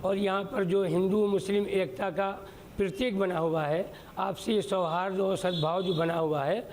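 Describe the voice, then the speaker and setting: A man's voice: sits high, steady tone, a little slow, darkish tone, a little hiss or hum. A man giving a spontaneous spoken statement as a local interviewee for the news.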